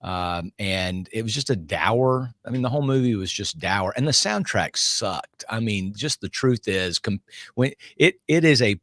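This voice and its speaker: A man giving casual film opinions on a panel, often halting as he searches for words. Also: lowish voice, lively intonation, a bit bright, no room sound.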